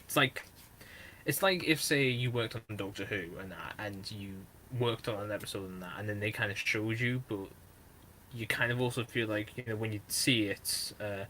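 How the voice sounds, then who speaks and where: A man's voice: fairly low pitch, normal speed, pushed and bright, a little hiss or hum, poor audio. A man with a Northern English accent, hosting a casual podcast and rambling with lots of fillers and restarts. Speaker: podcast host.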